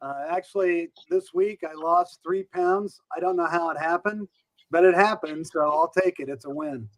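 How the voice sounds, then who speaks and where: A man's voice: fairly high pitch, normal speed, no echo. A man chatting casually as a guest on a panel. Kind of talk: casual chat. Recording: dull lo-fi call audio.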